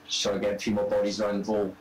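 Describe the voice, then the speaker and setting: Flat, deep-voiced West Country man. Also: rapid-fire, recorded from a distance, crowd noise. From Hereford area, English-Welsh border. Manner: hesitant, with many fillers. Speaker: opposing player. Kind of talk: casual chat while gaming. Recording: roomy with faint noise.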